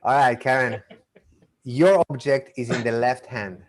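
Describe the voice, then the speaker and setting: A man's voice: medium pitch, measured pace, slight background noise. A playful man with an accent from Argentina and Israel hosts an online mentalism act over a video call. He chats with his volunteers, stumbling over restarts as he goes. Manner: chatty, playful, stammering restarts. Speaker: magician and mentalist. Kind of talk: live online magic show patter.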